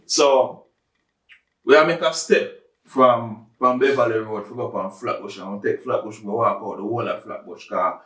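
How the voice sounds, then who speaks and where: A man's voice: fairly low pitch, normal speed, fairly bright tone. A man with a Jamaican accent hosting a casual podcast, making his points emphatically and repeating words as he builds them. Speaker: podcast host. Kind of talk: casual discussion and storytelling. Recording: echoey room, distant from mic.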